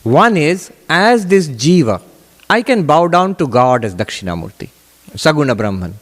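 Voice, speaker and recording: Man, spiritual teacher, higher-pitched voice, deliberate emphatic phrasing, question-and-answer teaching, long hall reverb. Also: sing-song.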